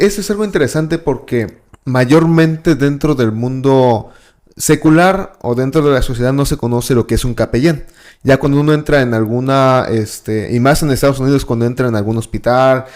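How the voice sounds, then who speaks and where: A man with a Mexican Spanish accent hosts a casual church podcast. He asks long, winding questions and fills the gaps with "este". His voice sits at a middle pitch. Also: darkish tone. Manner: friendly, rambling questions with "este" fillers. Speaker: podcast host. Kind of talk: casual interview hosting.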